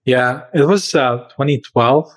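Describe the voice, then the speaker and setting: A man's voice: mid-range voice, unhurried. A man who grew up in Serbia answers interview questions as a company founder, speaking earnestly with frequent fillers and shifts in pace.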